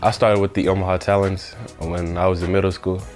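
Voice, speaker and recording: A young basketball player born in South Sudan, speaking calmly and reservedly in a documentary interview. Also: male voice, low-pitched, a little fast, soft background music. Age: young adult.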